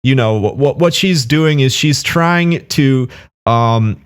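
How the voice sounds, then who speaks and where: A man's voice: medium pitch, takes it slowly, smooth. A man giving casual, argumentative reaction commentary on a video. He is dismissive and keeps circling back with fillers. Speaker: online commentator.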